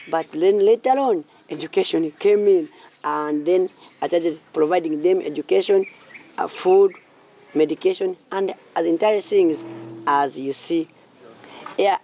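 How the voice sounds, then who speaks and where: The speaker is a man in his late thirties with a Ugandan accent. His voice is mellow, with plenty of creak in it. He answers interview questions informally, phrasing things haltingly and often repeating himself. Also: on the high side. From southwestern Uganda. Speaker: community project founder and church minister. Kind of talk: informal interview answers about own life.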